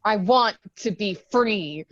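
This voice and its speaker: A woman's voice: medium pitch, slow pace, dull audio, background noise, close to an everyday voice. A woman acting a wounded, defiant, sarcastic Marine officer in a screenplay table read. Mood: anguished determination. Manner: sarcastic, emotional.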